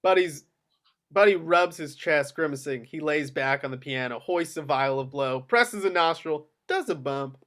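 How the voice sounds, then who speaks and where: A man's voice: on the high side, animated, normal speed, a bit bright. A man narrating the action lines of a screenplay at a table read.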